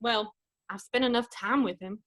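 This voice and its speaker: Blunt, soft-hearted big-kid guy acted by a woman, high-pitched, comedic radio drama parody. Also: moderate pace, lightly acted. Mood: tender, awkward.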